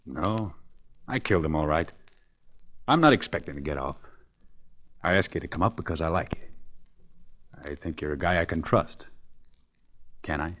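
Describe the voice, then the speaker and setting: An actor playing a cocky, defiant condemned killer with a wry manner in a 1940s radio drama. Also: male voice, very deep voice, moderate pace, long pauses, fairly bright tone. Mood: grim, guarded. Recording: dull, noisy 1940s radio recording.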